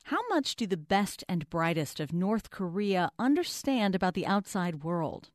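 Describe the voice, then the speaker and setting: A man hosting a public radio talk show, reading a scripted introduction and then asking measured interview questions. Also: high-pitched, expressive, dry recording, studio quality.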